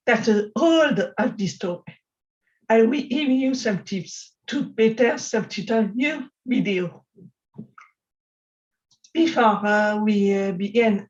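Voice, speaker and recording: Deaf French woman, conference speaker, slow careful delivery, slightly dull audio. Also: medium pitch. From France. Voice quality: deaf speech. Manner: slow, careful speech with pauses. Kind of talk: prepared conference talk.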